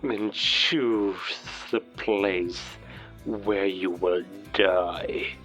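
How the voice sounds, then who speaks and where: An actor playing a cold, taunting werewolf villain in a cinematic audio drama, speaking deliberately and threateningly through a radio filter. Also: male voice, low voice, steady tone, a bit bright, soft background music, heavily acted. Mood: cold menace. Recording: muffled lo-fi military radio transmission.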